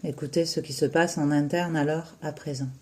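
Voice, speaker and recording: A yoga nidra teacher guiding a relaxation in a soothing, unhurried way, with long pauses between short phrases. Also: medium pitch, fairly even intonation. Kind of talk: slow guided relaxation meditation.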